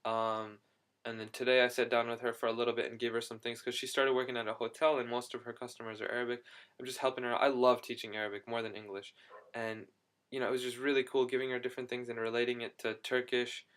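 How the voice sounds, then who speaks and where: A young man in a casual video diary, talking excitedly and rambling with quick restarts. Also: medium pitch, smooth. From United States. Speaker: vlogger.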